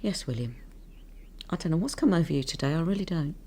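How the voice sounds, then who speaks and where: A woman's voice: deep voice, lively intonation, fast, background noise. A woman playing an anxious, devoted middle-aged English wife and mother in a radio drama, fussing and deferential. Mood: fretful, deferential.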